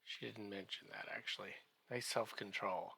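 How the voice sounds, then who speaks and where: A man giving a comedic podcast recap in a halting, drawn-out way, full of wry joking asides. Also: lowish voice, fairly even intonation, vocal fry, pushed and bright, slightly rough, no echo.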